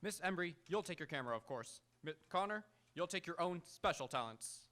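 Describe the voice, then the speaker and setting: A man acts a grandiose magazine publisher in radio theatre. The voice is fairly high and forceful, pompous and clipped, full of command. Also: expressive, a little fast. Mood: bossy, businesslike. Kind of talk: live radio drama acting. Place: auditorium stage, live radio theatre.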